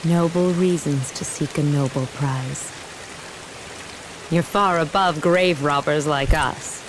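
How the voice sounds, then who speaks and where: A woman voicing a candid, friendly female mercenary in a video game, speaking in a measured, conversational way. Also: low-pitched. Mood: admiring. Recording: steady rain hiss behind voice.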